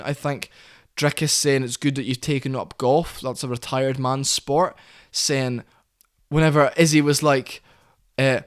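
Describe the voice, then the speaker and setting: Man, heated ranting commentary, fairly high voice, opinionated. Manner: heated, opinionated, quotes others in put-on voices. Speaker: commentary channel host.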